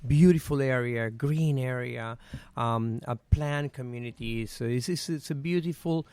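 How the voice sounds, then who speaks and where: A man's voice: mid-range voice, a little slow, a little mellow, smooth, no echo. A middle-aged man from Venezuela with a Venezuelan Spanish accent, answering interview questions earnestly with many "eh" and "um" fillers. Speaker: guest.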